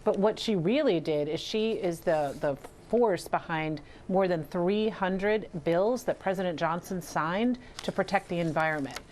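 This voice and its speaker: A woman's voice: lowish voice, a little slow, few pauses, darkish tone, a little hiss or hum. A woman filmmaker giving explanatory answers as a guest in an interview.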